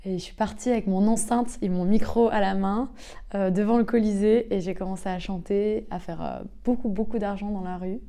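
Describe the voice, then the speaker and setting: A woman's voice: medium pitch, steady tone, fairly quick, creaky, mellow. A woman in her twenties from the south of France, a singer and actress telling her story in an interview with many hesitations. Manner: hesitant, many filler sounds, laughs easily. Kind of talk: casual interview talk.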